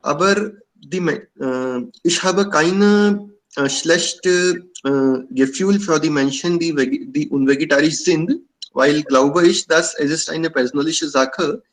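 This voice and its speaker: A man from India talks slowly in a non-native tongue. He stops often and fills the gaps with pauses. His voice sits somewhat high for a man. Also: rough recording. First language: Indian language. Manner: halting, many fillers. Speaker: club member sharing views. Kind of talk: hesitant casual talk in learner German.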